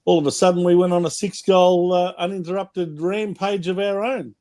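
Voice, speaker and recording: An Australian man on a casual panel show over a video call, friendly and quick to laugh while going through the scores. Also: sits high, little pitch movement, dark tone.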